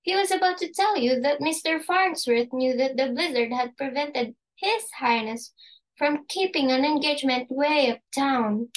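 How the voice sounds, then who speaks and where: A woman's voice: high-pitched, a little slow, low-quality recording. A woman playing a polite, formal household servant in an amateur dramatic reading, dutifully reporting to the mistress.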